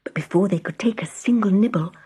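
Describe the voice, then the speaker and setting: A woman reading a scripted children's story as an expressive storyteller. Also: medium pitch, fast, husky.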